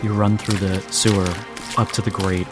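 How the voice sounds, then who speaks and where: Low voiced guy running a tabletop rpg, dramatic narrating w pauses, music and rain behind him. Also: flat delivery. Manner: deliberate, short phrases with pauses. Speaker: game master. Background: music and rain sounds.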